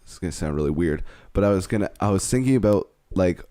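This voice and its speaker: Low-voiced young man, dry casual chat. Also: lively intonation, quick pace, dark tone, no room sound. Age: 20s. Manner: rambling, frank, trails off mid-thought. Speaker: podcast co-host.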